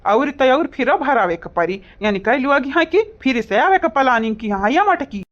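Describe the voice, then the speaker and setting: A woman's voice: sits high, animated, moderate pace. A woman voicing a taunting, grumbling village man in an Awadhi comedy cartoon, argumentative and rustic. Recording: dull lo-fi audio.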